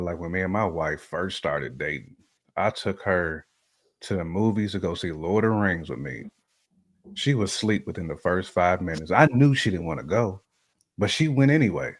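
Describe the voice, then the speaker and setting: An American man chatting casually about relationships as a show host, telling stories in animated, slangy language. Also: sits low. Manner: animated storytelling with slang and hypothetical examples.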